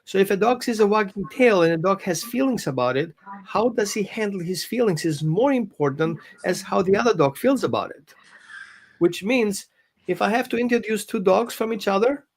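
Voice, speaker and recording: Brief: man, high voice, dog trainer explaining with examples. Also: animated, moderate pace. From Greece and Austria. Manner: non-native phrasing, explains with examples and quoted dog thoughts. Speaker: dog behavior consultant. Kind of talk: explaining on a live show.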